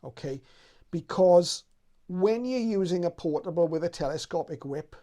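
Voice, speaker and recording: A man's voice: high voice, lively intonation, moderate pace, warm tone. A man hosting a radio how-to video, talking in a casual, unscripted and explanatory way.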